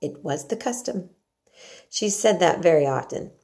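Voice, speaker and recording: A woman's voice: on the low side, animated, normal speed, vocal fry, a little mellow. A woman reading a children's storybook aloud as narrator. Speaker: story reader.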